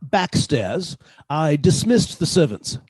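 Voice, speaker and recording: Guy doing a meek sly british husband in a radio thriller, dark mellow tone, dry close sound. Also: on the high side, very animated, slow pace, husky, dry recording, heavily acted. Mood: submissive, mild. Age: middle-aged. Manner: mild, submissive, quietly sly. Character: meek henpecked British botanist husband, quietly scheming murderer. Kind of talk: radio suspense drama acting with narration.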